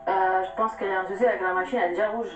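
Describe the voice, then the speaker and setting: A woman's voice: medium pitch, fairly even intonation, very fast. A 28-year-old woman from Italy with a light Italian accent, answering interview questions in a teasing, self-assured way. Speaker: reality show contestant. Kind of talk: televised interview. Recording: roomy, muffled, distant TV audio with music.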